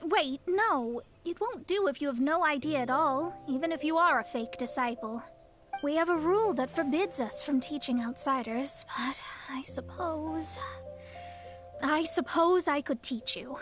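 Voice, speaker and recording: A woman's voice: high voice, a little slow. A woman voicing a proud young astrologer in a game, grand and lecturing in manner. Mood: lofty. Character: proud young female astrologer, haughty and mystical. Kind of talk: game voice acting. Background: game music.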